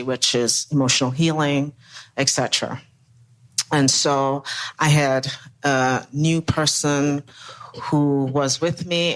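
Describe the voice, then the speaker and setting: A man's voice: medium pitch, fairly bright tone, dry recording, low-quality recording. Man telling a story, dry close sound. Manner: measured storytelling. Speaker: former assistant pastor, panel guest. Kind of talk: personal story in a podcast discussion.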